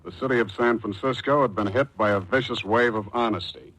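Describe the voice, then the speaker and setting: An actor playing a wry, clipped, world-weary private detective in the style of 1940s radio drama. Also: male voice, lowish voice, brisk, fairly bright tone, low-quality recording. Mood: wry narrating.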